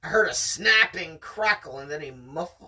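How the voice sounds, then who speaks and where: Amateur story reader with animated pitch and a forceful tone, casual chat between passages, sounds slightly off-mic. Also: normal speed, far from the mic. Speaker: host reading a novel aloud.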